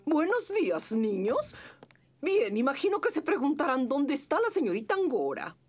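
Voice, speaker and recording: Cartoon school principal: older, stern, humorless rabbit, formal, high and animated. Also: female voice, normal speed. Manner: strict, formal. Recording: music behind the voice.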